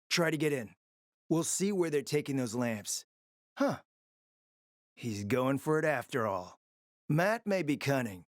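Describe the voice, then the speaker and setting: A man's voice: medium pitch, no echo, studio quality, lightly acted. A man playing a cunning spymaster in a video game, speaking in a theatrical, urgent and sardonic way. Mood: wry, focused. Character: cunning, theatrical male spymaster scheming over a radio. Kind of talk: dramatic video game voice acting.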